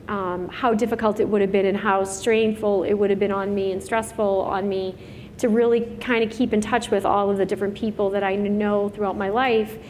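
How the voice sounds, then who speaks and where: A woman's voice: medium pitch, a little hiss or hum. A woman speaking as a panel guest in an auditorium, talking earnestly and stopping to restart her sentences. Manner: earnest, halting with fillers and restarts. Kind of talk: candid panel conversation.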